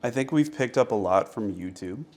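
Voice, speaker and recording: A man chatting in a relaxed, dry way with his partner on a vlog. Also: medium pitch, normal speed. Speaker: vlogger's partner. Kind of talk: casual chat.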